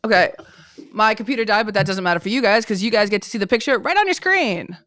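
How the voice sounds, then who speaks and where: A woman hosting a podcast, chatting playfully with quick teasing reactions. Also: mid-range voice, animated, fairly bright tone, dry recording, studio quality. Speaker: podcast host. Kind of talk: casual chat.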